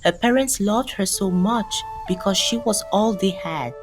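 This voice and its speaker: A woman's voice: mid-range voice, unhurried. A woman storyteller giving a scripted narration of a folktale. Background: music.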